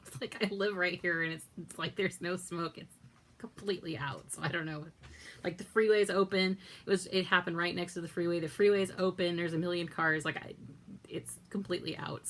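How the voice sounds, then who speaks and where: Rambling raspy woman vlogger. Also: fairly low pitch, fairly bright tone, a little hiss or hum. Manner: rambling, self-correcting, lots of likes and ums. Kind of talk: casual chat.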